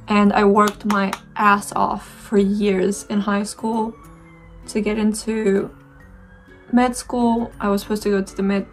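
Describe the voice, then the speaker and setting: A young Romanian-accented woman talks to camera in a relaxed, meandering way as she does her makeup. Her voice has a noticeable gravelly fry. Faint music plays softly underneath. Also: mid-range voice, rough recording. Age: 20s. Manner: reflective, rambling, many fillers and restarts. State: dry throat. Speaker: beauty vlogger. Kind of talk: casual chat while doing makeup.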